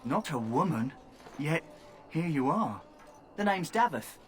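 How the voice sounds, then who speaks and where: A man's voice: on the high side, sing-song, a little slow, a little hiss or hum. A man voicing a cheeky young thief recruit in video game dialogue, with a teasing manner.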